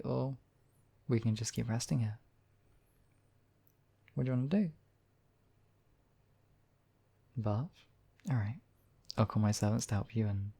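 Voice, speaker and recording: A man performs a demon king devoted to his beloved, with a soft, dark timbre full of gravelly creak. He speaks intimately and courteously, with a playful, teasing edge, in the manner of a romantic ASMR audio roleplay. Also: medium pitch. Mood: playful, caring.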